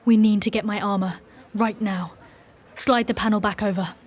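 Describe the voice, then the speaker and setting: A woman playing a proud, stern warrior knight in a dark fantasy audio drama, speaking in a formal, commanding way. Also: medium pitch, fairly even intonation, moderate pace, lightly acted. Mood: urgent, commanding.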